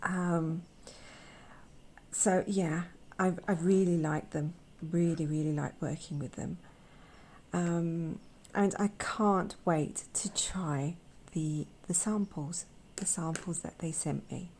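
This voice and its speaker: A young woman hosts a relaxed art vlog in a croaky, sore-throated voice. She speaks very slowly and softly, with a mellow, darker tone, and often sinks into a whisper. Her talk is gentle and unhurried, dotted with small hesitations. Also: lowish voice. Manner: soft-spoken, gentle, often drops to a whisper; hesitant with frequent fillers. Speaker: watercolour artist and channel host. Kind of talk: casual art vlog, swatching paints.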